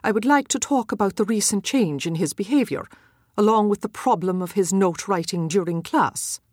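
Female schoolteacher played by an actress, polite and formal audiobook letter, medium pitch, very dry recording. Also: a little mellow, no room sound, natural voice. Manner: formal, measured wording. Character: formal, courteous female schoolteacher writing to parents.

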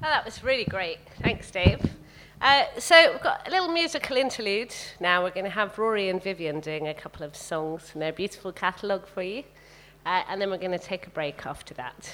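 Bright-voiced woman hosting a live event. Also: medium pitch, fairly quick. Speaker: event host. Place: live literary event venue. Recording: noisy live event recording with clicks.

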